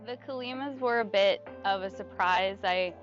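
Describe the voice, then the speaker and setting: A young woman in a casual testimonial interview, chatty, with fillers and soft laughs. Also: mid-range voice, normal speed, muffled recording. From United States. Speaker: retreat guest giving a testimonial. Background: background music.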